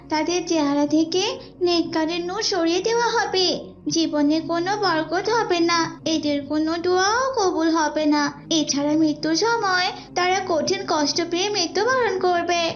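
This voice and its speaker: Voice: very high-pitched, moderate pace. A performer voicing a devout young older sister who explains and instructs in an Islamic moral cartoon. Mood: earnest admonishing. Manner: preachy, explanatory. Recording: dull lo-fi audio.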